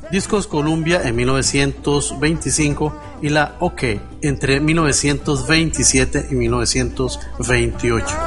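Un hombre que lee una narración documental preparada para un programa de radio, con tono formal y pausado. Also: male voice, medium pitch, fairly bright tone. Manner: formal, measured reading. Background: music.

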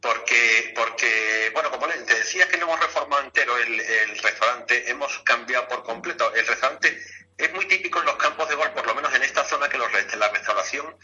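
A man's voice: mid-range voice, a little fast, bright, forceful tone, highs cut off. A man with an accent from Spain, talking chattily and repeating himself as a guest in a casual radio interview. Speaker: guest, golf club director.

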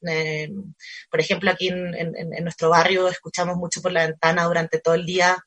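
A woman's voice: fairly low pitch, flat delivery, fairly quick, a bit bright. A woman who grew up in Chile, talking as a guest in a casual conversation, thoughtful and full of hesitations and fillers.

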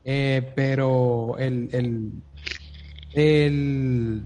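A man hosting a podcast interview in a conversational way, halting and repeating his phrases. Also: mid-range voice, fairly even intonation, dark tone, a little hiss or hum. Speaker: podcast host.

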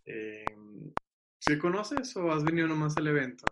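A man's voice: on the high side, very animated, unhurried. Eager young man on a noisy video call. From Mexico. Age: 20s. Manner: enthusiastic, laughs often, repeats words. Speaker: guest. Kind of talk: casual video-call chat. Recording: loud background hiss on a video call.

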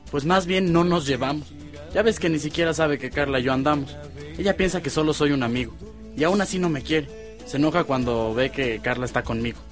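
A man's voice: fairly high pitch, a little fast, faint music behind, lightly acted. An actor playing a casual, slangy, mildly rebellious teenage boy with a Mexican accent in a radio soap. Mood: frustrated. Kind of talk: radio soap opera acting.

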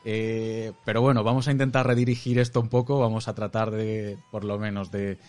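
A man's voice: on the low side, flat delivery, a little fast, darkish tone. A man hosting an informal, chatty interview, talking casually and encouragingly with his guest. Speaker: interviewer, host.

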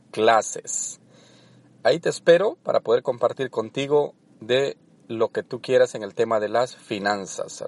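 A man's voice: mid-range voice, vocal fry, a little mellow. Salvadoran man hosting a motivational podcast. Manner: earnest, coaching, with many fillers. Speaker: podcast host.

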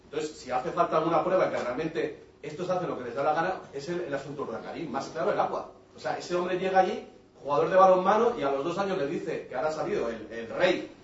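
A man from the audience making a comment at a public talk, halting and repeating words, then growing heated. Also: on the high side, expressive, slight background noise. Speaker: audience member. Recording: roomy, dull hall recording from far off.

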